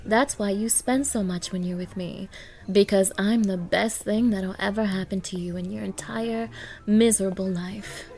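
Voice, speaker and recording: A woman's voice: medium pitch, steady tone, moderate pace, darkish tone, lightly acted. A woman playing a haughty, demanding young gold digger on a date, scolding and condescending in an audio roleplay. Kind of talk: ASMR-style dramatic roleplay.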